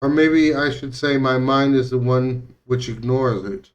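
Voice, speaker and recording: A man's voice: medium pitch, steady tone, a little slow, recorded from a distance. A man reading visual novel text aloud as dramatic narration, now and then stumbling over words and rereading them. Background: music.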